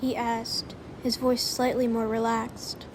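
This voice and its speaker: A woman's voice: on the high side, level tone, slow, noisy background. A woman narrating a story from a script, as in an audiobook of fan fiction.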